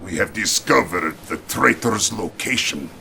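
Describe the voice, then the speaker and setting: Game role: theatrical Russian-accented premier, gravelly edge, swooping delivery, bombastic and slow, music behind. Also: male voice, animated, pushed and bright, slight background noise, full character voice. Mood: confiding, grave. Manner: bombastic, broken English, swings from booming to confiding. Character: boisterous, theatrical male Soviet premier, jovial and scheming. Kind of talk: video game cutscene acting.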